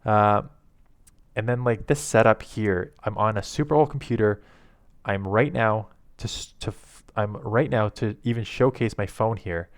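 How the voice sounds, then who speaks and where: A man, rambling casually to camera in a monotone, low-key way on an old headset mic at his home desk. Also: a little slow, clean tone, dry recording, studio quality. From Canada. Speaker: YouTube content creator. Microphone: old headset microphone.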